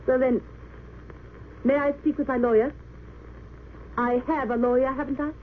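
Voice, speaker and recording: Woman acting a dignified English nurse, high-voiced, old radio. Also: steady tone, normal speed, lightly acted. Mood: quietly defiant. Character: dignified, composed English nurse standing accused of treason. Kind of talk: 1930s-40s radio drama acting. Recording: noisy narrow-band old radio recording.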